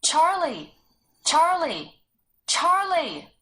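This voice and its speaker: A woman voicing an anxious girl in a storybook, calling out for a lost boy in long drawn-out shouts. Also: very high-pitched, sing-song, voice pushed up, heavily acted.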